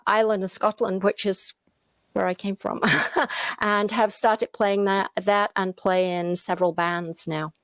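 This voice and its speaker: A woman's voice: medium pitch, clean tone, dry recording. A woman from England with an English accent, a music instructor speaking as a panel guest with many small hesitations. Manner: friendly, full of uhs. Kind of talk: casual panel conversation.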